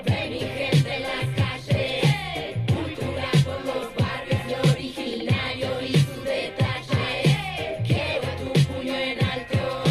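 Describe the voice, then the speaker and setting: A woman's voice: sits high, slow pace, pauses often, loud music behind the voice. A woman rapping with a defiant, rhythmic flow over a hip hop track. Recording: loud hip hop beat under voice.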